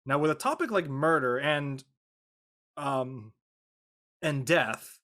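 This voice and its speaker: A man talking casually as a voice actor on a panel, in halting, self-correcting phrases. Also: fairly high pitch, a bit bright.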